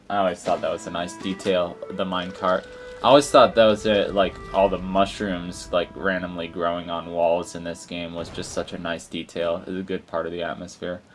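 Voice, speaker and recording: A young man hosting a gaming channel, talking in a low, croaky morning voice and rambling casually over his gameplay with lots of fillers and restarts. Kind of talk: casual let's play commentary.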